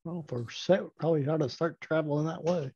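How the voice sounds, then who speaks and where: A man's voice: fairly high pitch, fairly quick. A man chatting casually as a player in a tabletop roleplaying game, heard through a dull recording. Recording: dull, lo-fi voice with background noise.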